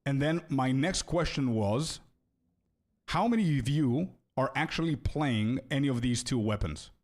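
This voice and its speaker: A young man streaming a video game and chatting casually with viewers, loose and friendly, often calling them bro and repeating words as he thinks out loud. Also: medium pitch, expressive, a little slow. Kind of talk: casual live-stream chat, answering viewers.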